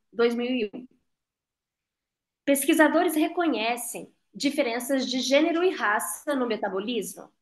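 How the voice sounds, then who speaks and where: Woman with a higher voice, measured reader of a lecture, heard over a video call. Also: a bit bright. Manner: careful, measured reading with pauses over figures and citations. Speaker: guest reader and co-chair. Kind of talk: reading a translated lecture aloud.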